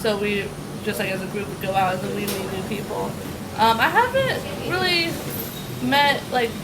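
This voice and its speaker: A woman's voice: medium pitch, expressive, normal speed. A young American woman giving casual interview answers in very informal speech, peppered with "like". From United States. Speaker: teacher being interviewed. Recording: loud traffic and street noise.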